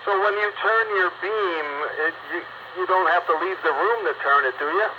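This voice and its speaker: A man's voice: high voice, normal speed. A man giving casual advice and chat over hobby radio. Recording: dull, noisy radio transmission. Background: clear radio noise.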